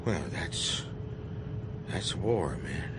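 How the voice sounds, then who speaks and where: An actor playing a folksy, baffled bomber pilot major with an American Southern drawl in a satirical radio play, given to bluster. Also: male voice, expressive, very slow, heavily acted. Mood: baffled, resigned. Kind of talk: satirical radio comedy drama. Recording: loud aircraft rumble behind.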